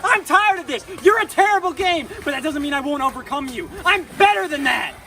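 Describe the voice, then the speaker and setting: A man acts out an exasperated, theatrical video game hater from a comedy sketch. His high, bright voice swings widely and lands emphatically on his points, with faint music behind. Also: lively intonation, fairly quick, exaggerated voice. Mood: determined. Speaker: host.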